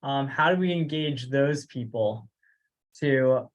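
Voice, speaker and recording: A man's voice: medium pitch, slow, low-quality recording. A man leading a group discussion on a teleconference, speaking hesitantly as he thinks aloud. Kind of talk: discussion on an online group call. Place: remote teleconference.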